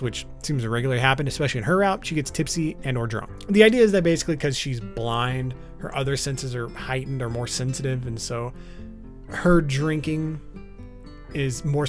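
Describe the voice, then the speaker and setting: Man, video essay narration, slightly high pitch, swooping expressive delivery, faint background music. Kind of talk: explainer narration about a visual novel.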